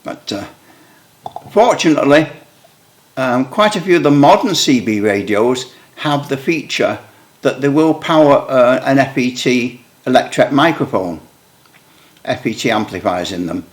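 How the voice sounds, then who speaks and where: A man's voice: mid-range voice, lively intonation, a little slow, pauses often. A man giving a casual hands-on demonstration of CB radio equipment, thinking aloud as he fiddles with settings and microphones. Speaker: CB radio hobbyist demonstrating gear. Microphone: headset microphone for part of the test.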